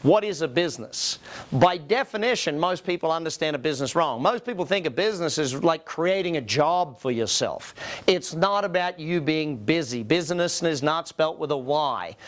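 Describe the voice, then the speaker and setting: A man with an Australian accent speaking as a business coach to an audience in an emphatic, motivational seminar style, putting rhetorical questions to listeners. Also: a little high. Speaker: business coach and company founder, presenting.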